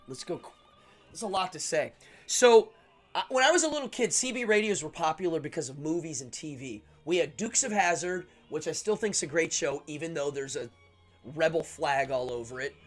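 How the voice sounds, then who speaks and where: Middle aged american guy doing a live stream, kinda high voice, rambly and excitable, bit of music behind him. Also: fairly quick. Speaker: livestream show host. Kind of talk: casual live stream chat.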